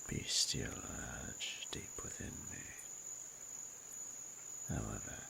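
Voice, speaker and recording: A man voicing a flirtatious, possessive yet respectful dragon with a very deep, gravelly voice and formal, archaic, teasing diction in a romantic audio roleplay. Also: sharp tone, a little hiss or hum, full character voice, poor audio. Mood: seductive, earnest. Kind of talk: scripted romantic audio roleplay, intimate monologue.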